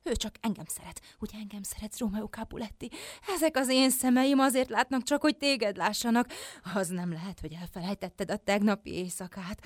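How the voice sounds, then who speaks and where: Lovesick jealous woman in a radio play, highish voice swooping about, super theatrical, dry sound. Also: very animated, no room sound. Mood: pleading, hushed. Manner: declamatory, swooning, swinging from hush to outburst. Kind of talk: theatrical literary radio drama.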